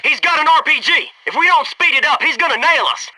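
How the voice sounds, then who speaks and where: A man's voice: extremely high pitch, a little fast, bright, forceful tone, a little hiss or hum, exaggerated voice, poor audio. An American man voicing a wisecracking, gung-ho special forces soldier in a video game, with a sarcastic, informal delivery. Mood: urgent, shouting. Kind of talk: video game combat barks and quips.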